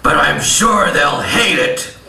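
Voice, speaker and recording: A man's voice: fairly high pitch, lively intonation, takes it slowly, gravelly, crowd noise, heavily acted. A man voicing a grumpy, judgmental, sardonic puppet sidekick in a satirical live show. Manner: sardonic, grumbling. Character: grumpy, judgmental male puppet named Chuck, sarcastic sidekick. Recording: echoey live stage, distant, loud music.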